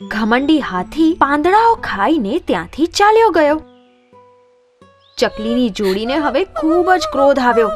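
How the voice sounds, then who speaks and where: A woman narrating scripted children's moral stories in an expressive storytelling manner. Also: sits high.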